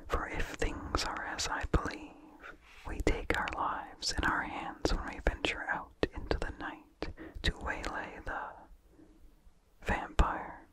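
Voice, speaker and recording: A person reading a vintage horror story as ASMR in an unvoiced whisper, slowly and deliberately, in short phrases with pauses. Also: poor audio. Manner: slow, deliberate, pausing between short phrases. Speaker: narrator.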